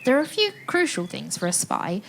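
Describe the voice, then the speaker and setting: An actress as a woman storyteller leading a children's audio adventure, theatrical and direct, leaving dramatic pauses. Her pitch sits in the middle for a woman. Clear ambient noise runs behind her. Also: huge pitch swings, a bit bright, exaggerated voice. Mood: inviting, intriguing. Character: adventurous woman storyteller guiding listeners on a quest. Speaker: storyteller of a participatory audio play.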